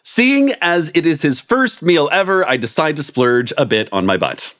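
A man's voice: on the high side, moderate pace. Guy reading a funny story live on stage, playful, voice swings around a lot. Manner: playful, amused deadpan narration. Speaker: story reader. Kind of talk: live comic reading of erotic fiction. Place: stage before a live audience.

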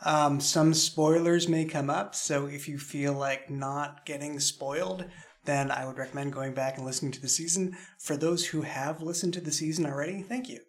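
A man with a fairly high voice hosts a podcast roundtable. He talks conversationally, as the creator of the show leading a discussion. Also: fairly even intonation, moderate pace.

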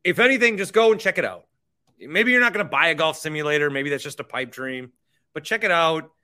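A man hosts a sports podcast in a bright, forward voice that sits a little high. He talks conversationally and with plenty of opinion, often repeating a phrase to drive it home. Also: animated, fairly quick. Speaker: podcast host. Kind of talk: sports podcast talk with sponsor reads.

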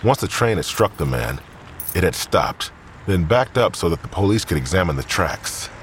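A man narrating a crime drama in a grave, measured tone. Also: sits low, very animated, background noise. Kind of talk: scripted narration.

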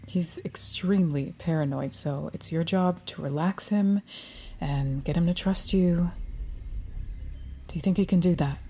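An actress in an audio drama playing a cool, controlled special agent who speaks in a measured, authoritative way. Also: female voice, on the low side, warm tone, slightly rough, soft background music. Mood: grave, persuasive.

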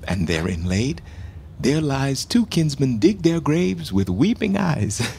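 A man's voice: mid-range voice, very animated, takes it slowly, gravelly, warm tone, slight background noise, full character voice. A man playing a proud, doomed king in a Shakespeare radio play, mocking and wry, dropping to whispers and flaring up. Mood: mournful, mocking. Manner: mocking, wry, swings between whispers and outbursts. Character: doomed proud male king, bitter and theatrical.

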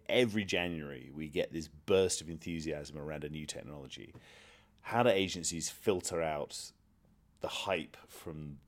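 A man hosting a podcast, putting interview questions and narrating links between guests. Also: rumbling low.

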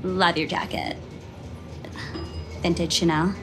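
A woman's voice: fairly low pitch, level tone, slow, loud music behind the voice. An actress playing a gushing, trendy, sociable young woman neighbor. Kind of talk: audio drama acting.

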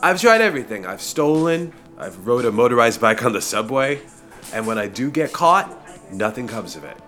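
Very low, glum, defensive petty crook. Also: female voice, sing-song, normal speed, fairly bright tone, soft background music, heavily acted. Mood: exasperated. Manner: reluctant, curt, grumbling. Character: drab, glum, defensive reluctant petty criminal. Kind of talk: audio drama buddy comedy acting.

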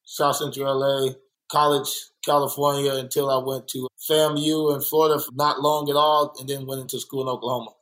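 A man's voice: mid-range voice, flat delivery, brisk, a bit bright, poor audio. A young adult man from Los Angeles, speaking earnestly and reflectively in an interview, repeating points for emphasis. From born in Kansas City, raised in South Central Los Angeles. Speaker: muralist and guest. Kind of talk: candid conversational interview.

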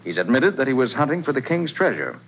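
A man in a 1940s radio play acting a confident detective who lays out the case in brisk, self-assured explanations. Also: mid-range voice, sing-song, slight background noise. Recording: dull old radio broadcast.

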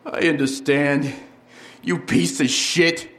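An actor playing an injured, defiant police detective in an audio drama, resentful and sarcastic. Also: male voice, fairly high pitch, fairly even intonation, slow, a bit bright. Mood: bitter, defiant.

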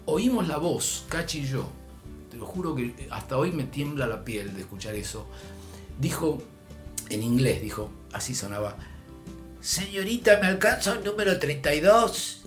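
An older man from Argentina with an Argentine Spanish accent, telling a long story in a relaxed chat, his voice rising and dropping as he goes. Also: a little high, moderate pace, faint music behind. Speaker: storyteller guest. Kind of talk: casual anecdote.

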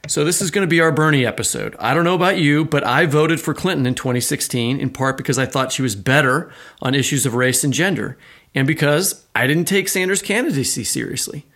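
Higher-voiced American man hosting a podcast. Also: animated, brisk, a bit bright. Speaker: podcast co-host. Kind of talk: conversational podcast hosting, partly scripted.

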